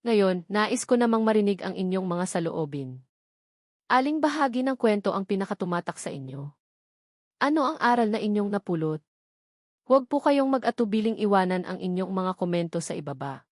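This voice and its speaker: A woman narrating a scripted story in a measured, sentimental storybook delivery. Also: medium pitch, sing-song, dry recording, studio quality.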